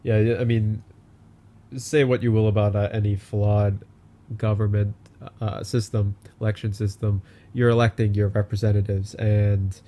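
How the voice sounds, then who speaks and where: A man hosting a political talk podcast, casual, with sarcastic asides and quick agreement. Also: fairly low pitch, little pitch movement, dark tone. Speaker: podcast host. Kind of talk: political talk show chat.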